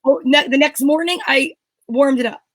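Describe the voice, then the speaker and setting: A woman's voice: high-pitched, moderate pace. A woman co-hosting a live show in casual, unscripted banter, chatty and candid.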